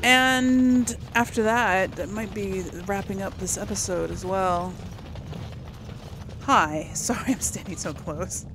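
A woman's voice: mid-range voice, slow pace, background noise. A woman hosting a casual let's play, chatting and thinking aloud as she plays. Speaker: gaming channel host. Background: game music.

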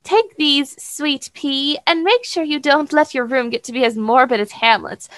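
A woman's voice: high voice, moderate pace, fairly bright tone, no room sound. A woman playing a sweet, affectionate young noblewoman and sister with quaint, polite phrasing in a tabletop game.

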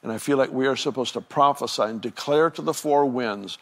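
Gravelly earnest man, reflective pastor. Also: mid-range voice, a little fast. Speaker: pastor interviewing a guest. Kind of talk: conversational ministry interview.

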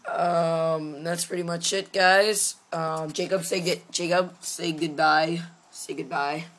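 A teenage boy with a hoarse voice, chatting casually and jokingly into a webcam from his room, wearing a gaming headset. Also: on the high side, fairly even intonation, slow pace, a bit bright. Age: teens. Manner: rambling, joking, tired. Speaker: vlogger. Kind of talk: casual chat. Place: small room at home, indoors.

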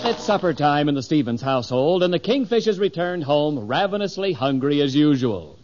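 A man's voice: on the high side, lively intonation, fairly quick, slight background noise. A man announcing and narrating a 1950s radio show in scripted style. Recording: muffled old radio broadcast. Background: loud music.

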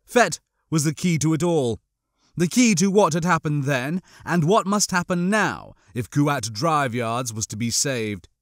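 A man's voice: a little high, animated, a little slow, no room sound. Man narrating an audiobook, dry sound. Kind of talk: expressive scripted fiction narration.

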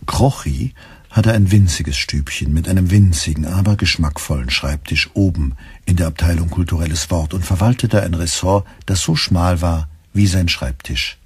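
An actor playing a measured storyteller, reading scripted literary narration in a radio play. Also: male voice, low-pitched, darkish tone, slightly rough, dry recording, heavily acted.

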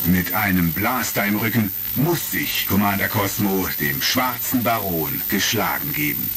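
A man's voice: low voice, animated, unhurried, a bit bright. A man narrating a retro sci-fi radio play in a dramatic, scripted style. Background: music with vintage record crackle.

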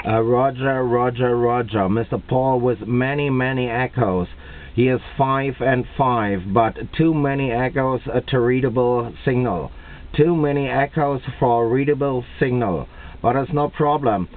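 A man making a radio contact as an operator, speaking deliberately and repeating phrases for emphasis. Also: mid-range voice. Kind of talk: radio contact with call signs and signal reports. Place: at a radio set, filming it. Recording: narrow, tinny radio-room video audio.

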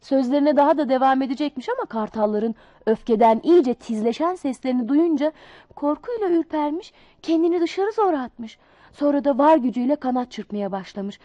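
A woman reading a children's fable aloud in an expressive, sing-song storybook manner. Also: sits high, normal speed, dry recording, muffled recording.

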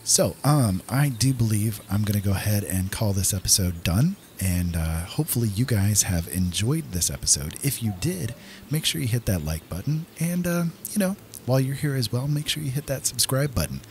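A man's voice: on the low side, expressive, moderate pace, a little mellow, a little hiss or hum. A man giving casual gameplay commentary as the host of a gaming video, talking directly to viewers.

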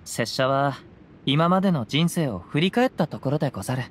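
A man voicing a gentle, reflective young wandering samurai in a video game, speaking calmly and poetically in archaic phrasing. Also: fairly high pitch, fairly quick, slight background noise, natural voice. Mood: calm, wistful. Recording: music behind the voice.

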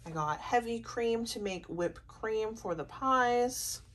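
A woman's voice: on the high side, takes it slowly. A young American woman narrating a casual vlog in a chatty, rambling way. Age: young adult. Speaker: vlogger. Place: indoors at home, kitchen table. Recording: clear background noise, handheld home recording.

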